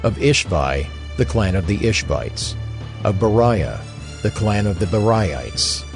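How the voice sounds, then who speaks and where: A man's voice: on the low side, very animated, measured pace, gravelly, music in the background. A man proclaiming scripted scripture in a formal, declamatory manner, like an official reader. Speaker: list reader.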